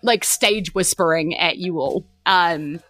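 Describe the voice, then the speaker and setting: A woman's voice: fairly low pitch, slow, fairly bright tone, soft background music. A woman with a New Zealand accent narrating a tabletop game as game master in a casual, informal way. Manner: casual, informal, giggly asides. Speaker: game master and podcast host.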